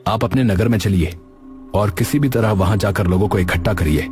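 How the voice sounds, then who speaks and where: A man's voice: on the low side, normal speed, over music, natural voice. A man playing a kind, polite young food seller in a cartoon story, speaking earnestly and reassuringly. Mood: sympathetic, reassuring. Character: kind, polite young rajma-rice seller helping others. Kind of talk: Hindi cartoon dubbing.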